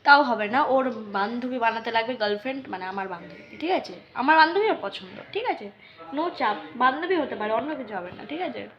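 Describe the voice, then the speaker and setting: A young woman chats like a casual vlogger. She tells her story in a teasing way, often pausing to check she is being followed. The recording is dull and lo-fi. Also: on the high side. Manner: animated teasing, repeated check-in questions.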